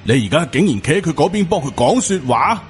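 A man voicing an angry, suspicious middle-aged Taoist master in an audiobook, gruff and accusing. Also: a little high, expressive, fast, faint music behind, voice pushed up, lightly acted. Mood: agitated, accusing.

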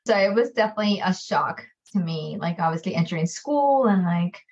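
A woman's voice: lowish voice, expressive, brisk, darkish tone. A middle-aged woman from Colombia, a native Spanish speaker, chatting informally as an interview guest. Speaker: podcast guest, physician assistant. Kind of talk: conversational interview answers. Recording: slightly dull, lo-fi sound.

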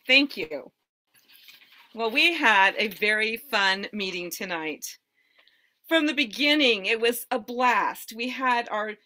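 Bright-voiced woman giving upbeat feedback. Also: on the high side. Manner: enthusiastic, laughing often, praising speakers. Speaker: general evaluator. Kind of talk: meeting evaluation remarks.

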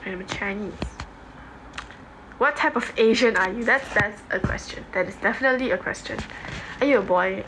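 Young Singaporean woman, livestream host, medium-pitched and offhand, slangy casual chat. Phone mic in a room with clear background noise. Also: moderate pace. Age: young adult. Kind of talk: casual livestream chat, reading and answering comments. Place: home bedroom, indoors. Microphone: phone at arm's length. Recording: noisy phone recording in a room.

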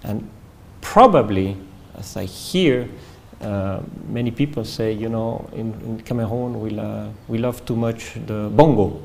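A man in his fifties from France, with a French accent, answering interview questions slowly and carefully with many fillers, recorded on a lapel mic in a formal room. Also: fairly low pitch, creaky, darkish tone, slight background noise. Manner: measured, hesitant with many fillers and restarts. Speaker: ambassador being interviewed. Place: formal reception room indoors.

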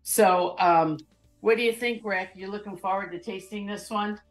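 A woman's voice: mid-range voice, moderate pace, faint music behind. A woman in her fifties hosting a live cooking show from her home kitchen, chatting casually and explaining each step as she goes.

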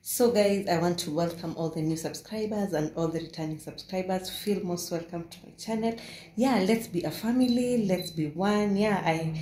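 Soft-spoken low-voiced young woman vlogging. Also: moderate pace. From Kenya. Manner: soft-spoken, keeping her voice low so her baby can sleep. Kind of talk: casual home vlog. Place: living room at home. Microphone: phone camera close up, later set down across the room. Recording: roomy home echo.

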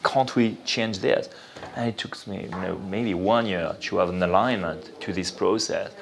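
Male interview guest, French accent, low-pitched, explains in a halting, thinking-aloud way. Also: gravelly, slight background noise. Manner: halting, thinking aloud with repeated "you know". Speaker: interview guest, venture capital investor. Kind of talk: spoken interview, explanatory talk.

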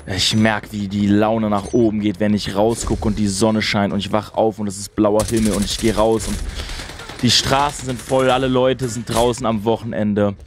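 Chatty young gamer, mid-match chatter. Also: male voice, lowish voice, little pitch movement, normal speed, slight background noise. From Berlin, Germany. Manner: chatty and enthusiastic, rambling asides. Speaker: gaming streamer. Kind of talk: casual chat while gaming. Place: small home room at a gaming setup. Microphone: studio mic on a boom arm, wearing headphones.

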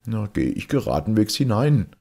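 A man's voice: fairly low pitch, big pitch swings, normal speed, gravelly, dark tone, breathy edge, pitched above the performer's own voice, full character voice. A man voicing a weary, forthright, self-assured huntsman in a storybook fairy tale. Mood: resolved. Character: plain-spoken weary huntsman, good-natured and self-assured.

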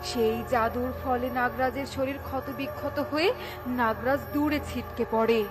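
A woman narrating a cartoon story in an even storybook reading. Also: on the high side, measured pace. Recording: loud background music.

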